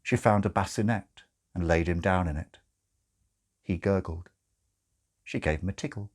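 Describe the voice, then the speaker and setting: A man reading a comic story as narrator, in a measured, dramatic and slightly arch way. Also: low voice. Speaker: narrator, audiobook reader.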